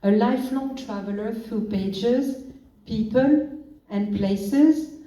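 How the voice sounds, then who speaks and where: A woman reads formal introductions at a literature event, slow and deliberate. Her voice is soft and mellow. It comes from far off in an echoey hall with faint noise behind. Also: on the high side. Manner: measured and deliberate, pauses between phrases. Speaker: event emcee introducing authors. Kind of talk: formal stage introductions, read aloud.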